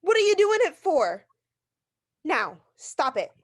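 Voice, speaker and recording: A neurotic, bedridden wife in an old-style radio thriller. Her high voice swings widely as she frets and complains, haughty, melodramatic and easily outraged. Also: sing-song, slow, heavily acted. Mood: hysterical. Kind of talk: theatrical 1940s-style radio drama acting.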